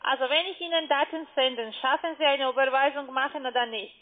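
A woman's voice: sits high, steady tone, a little fast. A woman call centre agent with a foreign accent, a non-native speaker who is blunt and insistent. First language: not German. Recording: tinny phone line.

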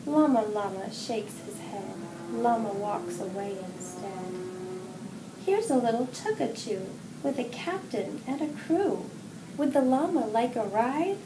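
A middle-aged woman reading a children's picture book aloud with expressive, sing-song phrasing. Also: a little high, unhurried. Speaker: children's story reader, hospital executive assistant. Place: indoor room with wall decorations. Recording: roomy echo, distant mic, clear background noise.

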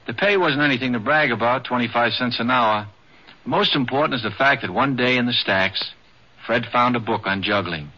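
A man's voice: mid-range voice, moderate pace, sharp tone. A man reading scripted documentary narration as the narrator of a radio program. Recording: dull 1950s radio broadcast.

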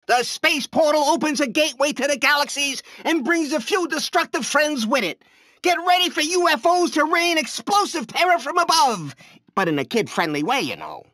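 An actor playing a cocky, taunting toy robot in a video game, with a brash, teasing, streetwise manner. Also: male voice, extremely high pitch, bright, forceful tone, dry recording, studio quality, full character voice. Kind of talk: animated video game voice lines.

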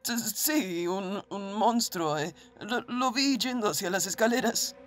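A man's voice: high-pitched, takes it slowly, fairly bright tone, faint music behind. A man with a Latin American accent playing a timid, stammering teenage gamer in a fan-dubbed webtoon. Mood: panicked, stammering. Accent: Latin American Spanish. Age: young adult. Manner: stammering, breathless, hesitant. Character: timid, panicky teenage boy, a reclusive gamer. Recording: faint background noise.

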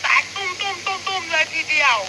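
A squeaky, extremely high-pitched news presenter reads a chirpy parody bulletin. The playback is clearly noisy. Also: fast talker.

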